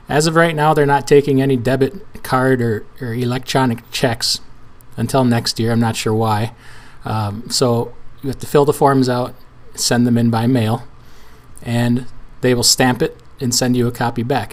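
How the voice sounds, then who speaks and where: A man with a hoarse, weak voice walking viewers through filling out a form on screen, in a casual, rambling way with restarts and fillers. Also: mid-range voice. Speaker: trucking channel host. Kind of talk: screen-share tutorial walkthrough.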